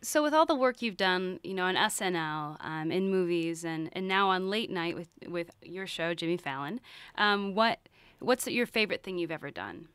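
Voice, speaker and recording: A woman's voice: fairly low pitch, animated, moderate pace, a bit bright, clear, resonant tone, no room sound. An American woman hosting a conversational radio interview, asking long, meandering questions.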